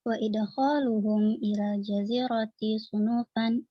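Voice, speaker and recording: Female student, unsure and unhurried, reading aloud over a dull dry video call. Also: on the high side, fairly even intonation, no echo. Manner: hesitant, slow, answers briefly. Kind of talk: reading aloud in online class.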